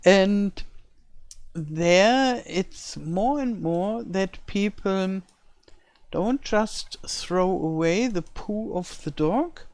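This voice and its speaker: Older German woman, English as second language, unhurried, explaining game building as she works, dry close sound. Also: medium pitch, expressive, a little mellow, no echo. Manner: thinking aloud, searching for words. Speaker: let's-play creator. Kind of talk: casual commentary while building a game map. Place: home in rural France.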